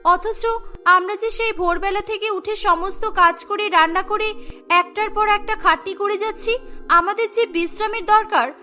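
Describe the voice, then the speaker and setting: Young cartoon daughter-in-law, very high bright voice, plaintive, wronged, protesting. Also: female voice, fairly even intonation, moderate pace. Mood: bitter protest. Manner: pleading, protesting. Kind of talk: melodramatic cartoon dialogue. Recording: music bed behind the voice.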